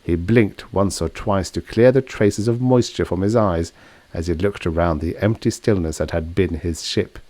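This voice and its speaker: Male audiobook narrator, low pitch, very dry sound, scripted science fiction storytelling. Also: moderate pace, a little mellow, dry recording.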